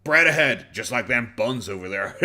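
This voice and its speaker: Animated Irish man, sarcastic and swearing. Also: mid-range voice, background noise. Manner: sarcastic, swears often, laughs a lot. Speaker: streamer reacting to videos. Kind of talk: casual live-stream reaction chat.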